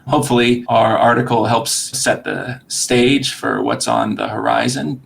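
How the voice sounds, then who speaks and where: A man speaking as a medical expert guest, explaining in a measured, articulate way with a gravelly, creaky voice. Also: mid-range voice, lively intonation, slight background noise. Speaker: surgeon-researcher guest. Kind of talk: podcast interview explanation.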